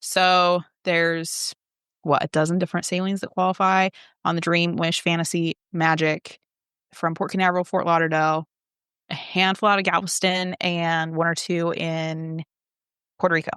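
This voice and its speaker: A woman's voice: lowish voice, little pitch movement, moderate pace, fairly bright tone, clear, resonant tone, no room sound, clean studio sound. A woman co-hosting a travel podcast, chatting casually and often dropping in "like". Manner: chatty, peppers speech with "like". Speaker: podcast co-host and travel agent.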